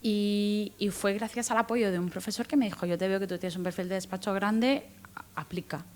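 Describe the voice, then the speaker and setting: A woman in her thirties from Guatemala, with a faint Guatemalan accent softened by Spanish from Spain, answering interview questions as a guest lawyer with frequent restarts and fillers. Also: medium pitch, brisk, slight background noise. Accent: light Guatemalan, mostly Spanish from Spain. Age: 30s. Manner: thoughtful, many restarts and fillers, lively rises when retelling.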